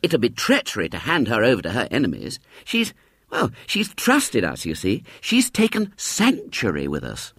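A man's voice: medium pitch, huge pitch swings, normal speed, a bit bright, husky, no room sound, clean studio sound, pitched above the performer's own voice, full character voice. A man voicing an earnest, bookish schoolboy who explains things carefully and a little hesitantly, in a children's storybook reading.

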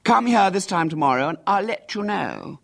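A man's voice: high-pitched, animated, normal speed, gravelly, voice pushed up, full character voice. A man reading a storybook, voicing a conceited, self-important young boy with a haughty, boastful manner. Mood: imperious.

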